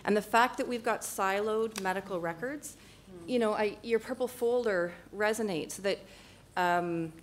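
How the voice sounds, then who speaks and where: Measured young woman physician on a panel. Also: medium pitch, slight background noise. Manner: measured, conciliatory. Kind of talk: panel discussion. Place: large conference hall, stage.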